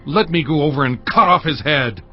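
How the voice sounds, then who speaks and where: An actor playing an indignant, violent, loyal warrior in a dramatized audio Bible. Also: male voice, fairly high pitch, fairly quick, lightly acted. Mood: indignant, menacing. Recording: dull audio over music bed.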